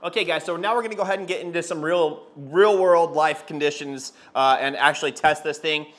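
A man's voice: a little high, fairly quick, fairly bright tone. A man in his thirties casually talking viewers through a product test, chatty, with frequent fillers, on a lapel mic. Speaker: car detailing channel host. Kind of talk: casual product review vlog. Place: garage workshop, outdoors by a van, and a home hallway.

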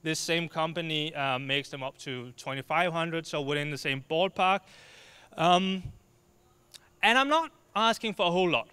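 Danish man with a bright, crisp voice. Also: on the high side, normal speed, dry recording. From Denmark. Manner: lively, joking, waves his arms. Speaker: speaker. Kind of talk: short comic talk on stage. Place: dark stage before an audience. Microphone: handheld mic.

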